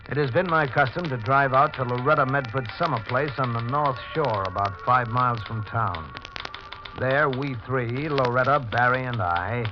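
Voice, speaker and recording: An actor playing a stern, methodical homicide lieutenant in a 1940s radio drama, speaking in a deliberate, precise, dramatic way. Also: male voice, mid-range voice, natural voice. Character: stern, methodical male police homicide lieutenant giving testimony. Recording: muffled 1940s radio recording with music.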